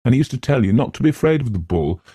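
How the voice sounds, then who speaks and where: A man's voice: mid-range voice, very animated, very fast, mellow, husky, no room sound, studio quality, pitched above the performer's own voice. A man voicing a playful, teasing young woman with a chatty, whimsical manner in an audiobook.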